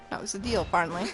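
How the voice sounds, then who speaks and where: A woman's voice: mid-range voice, low-quality recording. A woman streamer giving casual, playful, exclamatory live reactions while playing a game.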